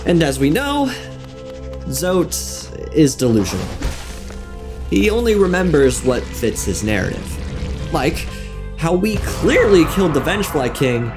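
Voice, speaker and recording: A man narrating a scripted video essay on game lore, with wry, deadpan asides. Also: on the high side, a little slow, pauses often. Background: music.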